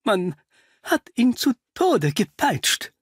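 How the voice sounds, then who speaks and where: Storybook village doctor, played by a male narrator: rattled, halting, pitched high, slightly husky. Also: sing-song, dry recording, clean studio sound, heavily acted. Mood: shaken. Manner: agitated, halting. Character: flustered, rattled village doctor and museum keeper, man.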